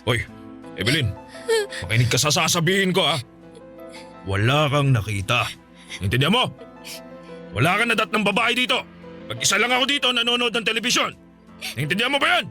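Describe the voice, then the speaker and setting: A bullying middle-aged uncle in a radio drama, his high, bright voice swinging wildly between low menace and sharp barks. He interrogates and threatens, never letting up. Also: sing-song, a little fast, pauses often, soft background music, exaggerated voice. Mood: threatening. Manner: bullying, interrogating, barking orders. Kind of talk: classic radio drama acting.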